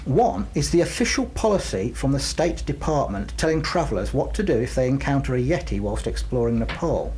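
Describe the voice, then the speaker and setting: A man's voice: medium pitch, very animated, fairly quick, hardly any pauses, darkish tone, slightly rough. A man co-hosting a radio show and reading news items in a studio. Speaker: radio co-host.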